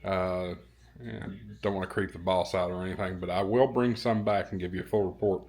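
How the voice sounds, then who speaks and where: A man's voice: low voice, steady tone, a little fast, a little mellow. A man talks in a casual vlog style from a hotel room. He is easygoing and a little hesitant, circling back over his phrases as he goes. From American South. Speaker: vlogger and ham radio YouTuber.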